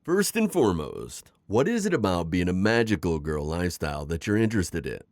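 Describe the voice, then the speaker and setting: A man's voice: sits low, big pitch swings, darkish tone, dry recording. A man playing a suave, manipulative magical villain mentor in an intimate ASMR roleplay, coaxing and teasing as he talks. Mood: probing, knowing. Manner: coaxing, smooth-talking, chuckling, drawn-out teasing phrasing. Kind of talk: ASMR roleplay acting, intimate one-sided dialogue.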